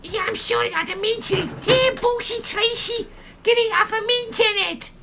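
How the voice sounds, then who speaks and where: Woman acting a giddy, very high-voiced tipsy holidaymaker. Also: normal speed. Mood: tipsy delight. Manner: rambling, nosy, over-familiar. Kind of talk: comedy radio play acting. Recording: faint background noise.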